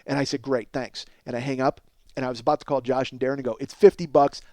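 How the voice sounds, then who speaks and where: A man hosting a podcast, telling a casual, profane comic story in an animated, exaggerating way and acting out both sides of conversations. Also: medium pitch, fast talker, no echo. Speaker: podcast host.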